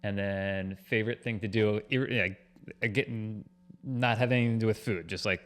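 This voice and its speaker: Male co-host from Pennsylvania: rapid, slightly low voice, relaxed conversational interviewing. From Pennsylvania, United States. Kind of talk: casual chat.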